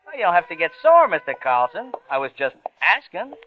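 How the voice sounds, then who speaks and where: An actor playing a gossipy, cheeky young elevator operator with slangy chatty talk, in old-time radio drama style. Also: male voice, high-pitched, huge pitch swings, fairly quick. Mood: nosy, cheeky. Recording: tinny old radio recording with music.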